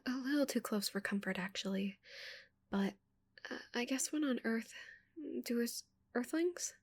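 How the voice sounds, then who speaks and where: A woman voicing a shy, curious giant female alien in a soft, breathy ASMR roleplay, with a hesitant, stammering manner. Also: fairly high pitch. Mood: flustered.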